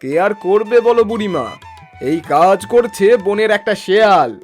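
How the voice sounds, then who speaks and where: A performer voicing a helpful, concerned village man in a children's cartoon, earnest and expressive in a familiar way. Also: medium pitch, normal speed, soft background music, close to an everyday voice. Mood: agitated. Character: helpful, concerned village neighbour named Ratan, male. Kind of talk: Bengali children's cartoon dialogue.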